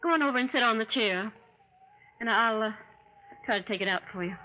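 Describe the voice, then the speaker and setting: A thin, telephone-like old broadcast with faint noise. An actress plays an earnest young woman in a 1940s radio melodrama. Her voice is fairly high, dramatic and full of anguished conscience. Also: a little fast, lightly acted. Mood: concerned. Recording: tinny, noisy old radio recording.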